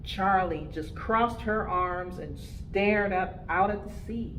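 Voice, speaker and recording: A woman reading a picture book aloud to preschoolers, with expressive, deliberate phrasing. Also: medium pitch, reverberant, slight background noise, poor audio. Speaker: storytime reader for preschoolers.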